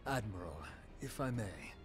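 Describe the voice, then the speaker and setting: An actor playing a shrewd, deferential military marshal in a fantasy game, speaking formally, deliberately and courteously. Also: male voice, fairly low pitch, animated, over music, natural voice. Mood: measured, persuasive. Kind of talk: fantasy video game cutscene acting.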